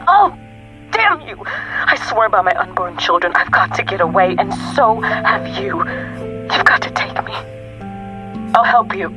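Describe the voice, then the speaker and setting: An actress playing a desperate, pleading young woman on the run, with a breathy, hushed delivery in a radio drama. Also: medium pitch, animated, unhurried, long pauses, natural voice. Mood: urgent pleading. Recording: noisy radio drama with music behind.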